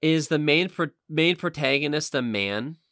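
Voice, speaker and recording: A man in a casual chat as a podcast co-host, thinking out loud and swearing casually. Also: fairly high pitch, animated, moderate pace, no room sound, clean studio sound. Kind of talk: casual chat, guessing game among friends.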